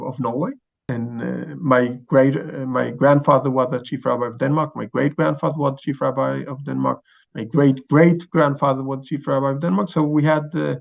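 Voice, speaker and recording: A man in his forties with a gravelly, creaky voice and an Israeli accent gives explanatory interview answers. He speaks haltingly, searching for words, and the audio is slightly dull. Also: mid-range voice. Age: 40s. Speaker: chief rabbi, interview guest.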